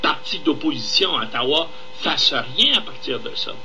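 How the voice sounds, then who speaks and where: A man's voice: fairly high pitch, animated, moderate pace, bright, forceful tone. A man with a Québécois French accent giving indignant, emphatic political commentary live on the radio. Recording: radio talk with faint background noise.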